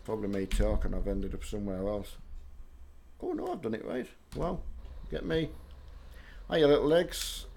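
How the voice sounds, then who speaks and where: Croaky man chatting over engine noise. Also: fairly low pitch, lively intonation, moderate pace, pauses often, a little mellow. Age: middle-aged. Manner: chatty, friendly, answers chat viewers one by one. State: slightly croaky voice. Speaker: streamer greeting chat. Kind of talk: casual live-stream chat while gaming.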